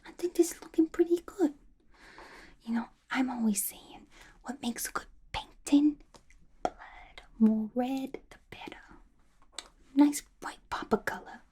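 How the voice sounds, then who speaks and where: A woman's voice: sits high, measured pace, pauses often, dark tone. A woman playing a playful, unhinged, gossipy female villain inmate in a chatty, conspiratorial whispered ASMR roleplay.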